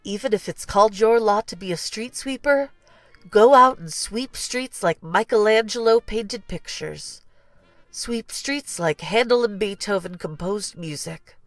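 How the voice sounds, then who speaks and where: A woman narrating a children's storybook in an expressive, deliberate read-aloud style. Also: medium pitch, no echo. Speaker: storybook reader.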